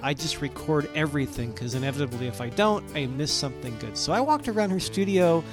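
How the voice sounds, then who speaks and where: Podcast host over guitar music. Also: male voice, a little high, quick pace. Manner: friendly sign-off, relaxed run of plugs. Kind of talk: scripted podcast outro.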